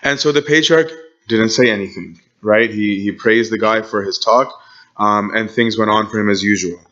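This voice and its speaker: Man, church history talk, fairly low voice, chatty teacher, dull lo-fi sound. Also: moderate pace, a bit bright. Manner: conversational, frequent "okay?" and "right?" check-ins. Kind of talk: informal church history lecture.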